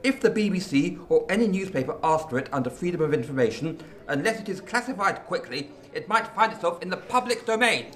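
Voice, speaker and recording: A male actor plays a senior government man in a radio drama. His voice sits fairly high and moves widely in pitch, while his delivery stays formal, grave and precise. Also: lively intonation, fairly bright tone. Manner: formal, measured, articulate briefing.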